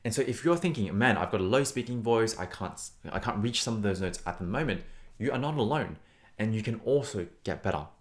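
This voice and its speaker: A man's voice: lowish voice, lively intonation, fairly quick. A young man who teaches singing, talking to camera in an earnest, encouraging way and often repeating words for emphasis. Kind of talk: casual teaching vlog. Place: Australia.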